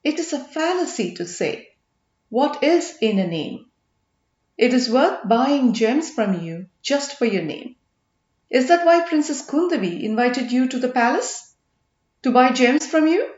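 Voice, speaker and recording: A woman's voice: high voice, big pitch swings, a little slow, a little mellow, roomy sound, highs cut off. Woman reading as a mocking, scheming royal commander. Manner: derisive, needling.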